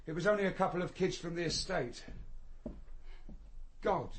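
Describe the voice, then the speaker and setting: A man's voice: high-pitched, moderate pace, a little hiss or hum, close to an everyday voice. An actor playing a secretive, controlling man soothing his lover in a radio crime drama. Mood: tense, commanding.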